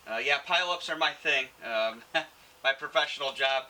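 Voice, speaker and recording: A man's voice: mid-range voice, normal speed, pushed and bright, poor audio. A man talking informally as an amateur radio operator, friendly and using radio jargon in a casual on-air chat. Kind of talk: casual ham radio contact.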